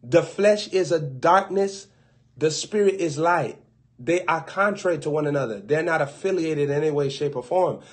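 Young guy preaching to his webcam, playful, does little voices for doubters, cracks quick jokes. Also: on the high side. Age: young adult. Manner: playful, acts out skeptics and quips in quick asides. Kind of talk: livestream preaching. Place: indoors before a gold bokeh backdrop with an open Bible. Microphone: webcam close up.

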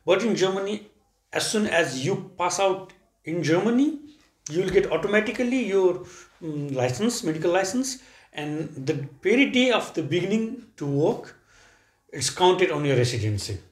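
A man in his thirties from Nepal, a surgeon, answers questions on a living-room sofa. His voice sits somewhat high, and he speaks calmly and matter-of-factly, humming between phrases. The camera mic picks up a clear room echo. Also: normal speed. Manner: calm, matter-of-fact, with frequent hums. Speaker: surgeon and interview guest. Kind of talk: casual conversational interview. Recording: echoey room.

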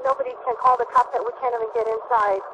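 A woman working as a flight attendant makes an emergency phone call, reporting in a halting, urgent but controlled way. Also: on the high side, little pitch movement. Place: aboard an airliner in flight. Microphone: telephone. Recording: noisy archival phone recording.

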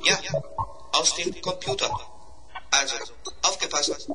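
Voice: mid-range voice, unhurried, close to an everyday voice. An actor playing a polite, earnest schoolboy robot in a 1970s German children's radio play, speaking precisely. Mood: businesslike.

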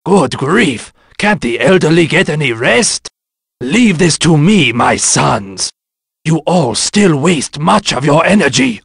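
Aged rat ninja mentor for a video game: gravelly, formal and sage, pitched fairly high with very lively swings. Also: male voice, no echo, clean studio sound, exaggerated voice. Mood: weary, complaining, then proud, spirited. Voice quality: raspy, gravelly. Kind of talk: video game battle lines and advice.

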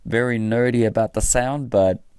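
A man giving an informal hands-on technical explanation in a halting way, often pausing mid-sentence. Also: medium pitch, steady tone, a little mellow, smooth, dry recording, studio quality. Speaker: presenter of a hobby electronics tutorial.

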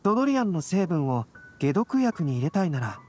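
A man's voice: fairly high pitch, big pitch swings, fairly quick, dark tone. A composed scholarly young alchemist from a game. He is dryly polite, with varied intonation and faint music behind. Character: calm, intellectual, deadpan young male alchemist. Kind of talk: Japanese game voice acting.

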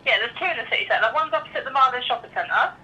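A woman's voice: high voice, lively intonation, very fast, pushed and bright. A woman working as staff, talking casually over a phone line. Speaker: shop and restaurant staff answering calls. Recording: phone on speaker, faint noise.

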